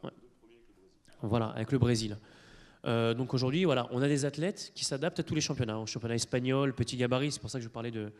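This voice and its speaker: Male panelist from Italy, mid pitch, halting explanatory delivery in a lecture hall. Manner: hesitant, many fillers and restarts. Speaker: conference panelist. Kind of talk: explanatory talk to an audience.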